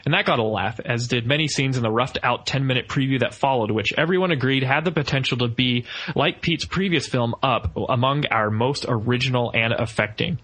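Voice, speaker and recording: A man's voice: medium pitch, brisk, a bit bright, low-quality recording. A man hosting a podcast, talking through ideas and reading aloud from a book. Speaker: podcast host.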